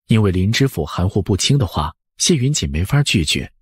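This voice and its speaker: 一位男性有声书播讲人，用富有表现力的方式照稿讲述故事。. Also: male voice, low-pitched, sing-song, fairly quick, slightly rough, dry recording, clean studio sound. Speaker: audiobook narrator. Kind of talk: expressive scripted story narration.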